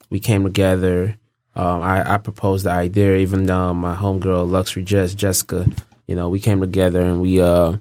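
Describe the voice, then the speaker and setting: A man's voice: low voice, a little mellow, no room sound. A young man from Los Angeles, a guest in a casual interview, talking in a laid-back, rambling way with lots of fillers and restarts.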